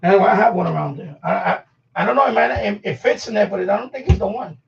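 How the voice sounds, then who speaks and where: A man's voice: sits high, fast, creaky, a little hiss or hum. A middle-aged man hosting a casual, rambling live stream, talking informally and slangily as if to himself while he handles things. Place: room at home being set up as a radio shack. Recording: dull lo-fi sound, distant from mic.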